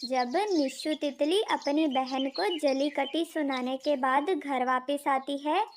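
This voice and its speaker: A woman's voice: high-pitched, takes it slowly, a little hiss or hum. A woman narrator reading a scripted children's story.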